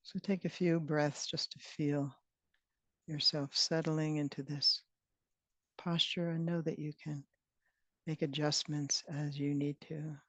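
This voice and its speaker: A woman leading a guided meditation as a teacher, speaking in gentle, unhurried phrases with long pauses. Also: low voice, little pitch movement, no room sound. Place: online retreat session, close dry sound. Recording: dull, band-limited online call audio.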